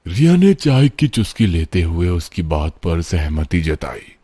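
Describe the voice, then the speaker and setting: A man's voice: low-pitched, very animated, a little slow, mellow, husky, no echo, studio quality. A man narrating a scripted horror story in a dramatic, suspenseful way.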